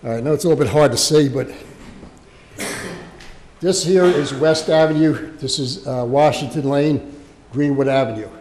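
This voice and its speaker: An American man presenting a project at a public meeting as a local official, speaking off the cuff in a halting way and repeating words. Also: fairly high pitch, a little mellow, a little hiss or hum. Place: indoor township meeting room. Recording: reverberant meeting hall.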